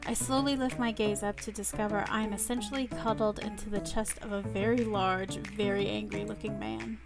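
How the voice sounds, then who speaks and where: A woman reading a first-person romance story aloud in an expressive, playful manner. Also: medium pitch, fairly quick. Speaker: visual novel reader. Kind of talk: first-person narration with live asides. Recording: loud music behind the voice. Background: loud music.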